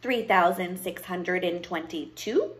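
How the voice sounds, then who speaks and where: A cold, miserly fairy-tale witch, played by a woman reading a storybook. She speaks slowly and a little low, with an indifferent air and a menacing edge. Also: lightly acted. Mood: cold indifference. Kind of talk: storybook character voice.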